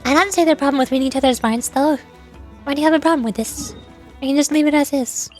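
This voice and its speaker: A woman's voice: high voice, quick pace, darkish tone, soft background music. A woman streaming a video game, chatting in a playful, cutesy manner as she comments along.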